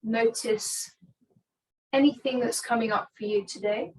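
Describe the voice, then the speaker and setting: A woman with a fairly high voice leads a restorative yoga class. She speaks very slowly and soothingly, leaving long, calm pauses between her gentle instructions. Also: poor audio. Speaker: yoga teacher.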